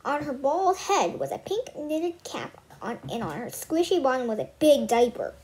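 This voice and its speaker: A young girl reads a children's story out loud in a high voice. Her pitch swings around in an animated way. She often stumbles, repeats a phrase, and slips into casual asides. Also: normal speed. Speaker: read-aloud host. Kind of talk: reading a children's book aloud with casual asides.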